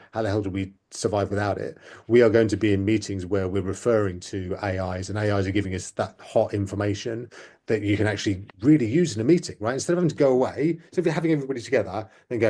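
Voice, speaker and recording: A man co-hosting a podcast, talking with quick, emphatic enthusiasm. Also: lowish voice, warm tone. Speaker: podcast co-host. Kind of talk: casual chat.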